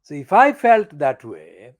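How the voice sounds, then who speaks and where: An older man delivering an angry, profane political monologue as a channel editor, stressing words slowly and deliberately. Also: a little high, sing-song. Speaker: channel editor giving commentary.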